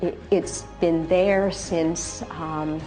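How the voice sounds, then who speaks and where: Low-voiced woman, earnest and reflective interviewee, music bed clearly under her. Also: steady tone, very slow, mellow, poor audio. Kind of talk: personal interview testimony.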